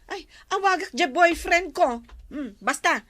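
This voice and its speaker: An actress playing a lazy, bossy older sister who orders others around. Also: female voice, extremely high pitch, animated, unhurried, a bit bright, no echo, full character voice. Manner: bossy, demanding.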